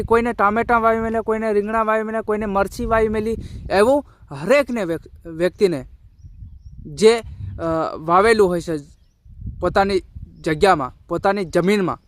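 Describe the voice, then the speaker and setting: A man giving informal social media commentary to viewers in a halting, repetitive, colloquial way. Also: high-pitched, fairly bright tone, dry recording. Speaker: video creator and community commentator.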